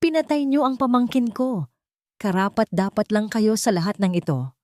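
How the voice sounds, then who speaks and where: A woman's voice: mid-range voice, moderate pace, a little mellow, no room sound, studio quality, voice pushed up, natural voice. A woman voicing a bitter, vengeful man in an audio drama story, accusing and emphatic. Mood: vengeful rage. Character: bitter, vengeful man raging over his nephew's ruin.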